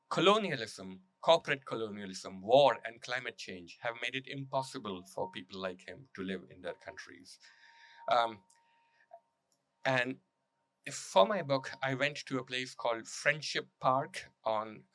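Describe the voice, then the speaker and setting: A man speaking as an author at a public reading, telling prepared stories in a measured, emphatic way with deliberate repetition. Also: medium pitch, pushed and bright, dry recording. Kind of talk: public talk with prepared storytelling and read testimony.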